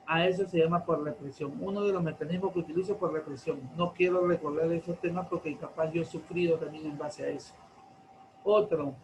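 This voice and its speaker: A man, lecturing teenagers in class, slow and deliberate, stretching key terms and asking many rhetorical questions. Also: on the high side, background noise. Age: 40s. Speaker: teacher.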